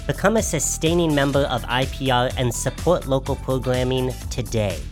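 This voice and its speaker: Formal sponsor announcer over music. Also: medium pitch. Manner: measured, formal. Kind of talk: read underwriting announcement.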